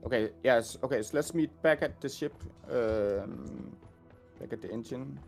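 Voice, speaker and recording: A man's voice: mid-range voice, measured pace, gravelly, faint music behind. Hesitant male audio designer. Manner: hesitant, many fillers and restarts. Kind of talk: casual chat while showing a game.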